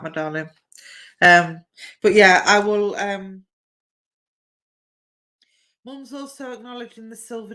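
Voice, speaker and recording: A woman's voice: medium pitch, a bit bright. Chatty woman giving live readings. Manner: chatty and affectionate, says "my darling" often. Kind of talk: live-stream card reading to viewers.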